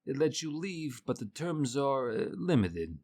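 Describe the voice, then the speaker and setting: A male voice playing a calm, cryptic keeper of an otherworldly store, patient and terse, with a husky tone. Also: mid-range voice, measured pace, no echo. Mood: resigned, calm.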